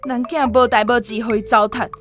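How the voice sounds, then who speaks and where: A woman with a Taiwanese accent playing an indignant, sharp-tongued, scolding wife and mother in a radio drama. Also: on the high side, fairly even intonation, brisk, faint music behind, natural voice. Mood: indignant, scornful.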